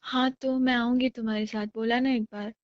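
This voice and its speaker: A woman as a clingy young girlfriend, higher-pitched voice. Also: little pitch movement, moderate pace, lightly acted. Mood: stubborn. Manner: insistent, sulky, pleading. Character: clingy, jealous, insistent young girlfriend on a call. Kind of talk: romantic phone-call roleplay, casual Hindi chat. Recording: dull lo-fi phone call recording.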